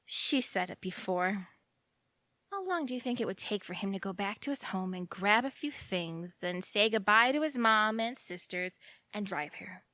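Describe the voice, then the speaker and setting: A woman's voice: medium pitch, lively intonation, normal speed, bright, forceful tone, dry recording. A woman acting in audio theatre as an anxious, lovelorn young woman who rambles and questions herself fretfully. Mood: worried, hurt.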